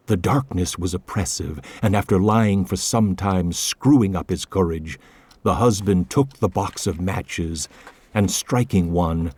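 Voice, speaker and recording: A man's voice: low-pitched, mellow, husky. A man narrating a classic horror story in a dramatic, suspenseful, theatrical way. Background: music.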